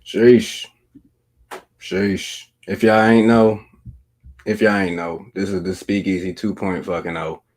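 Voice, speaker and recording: A man hosting a casual talk show, playful and loud with hype. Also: sits low, level tone, takes it slowly. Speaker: podcast host.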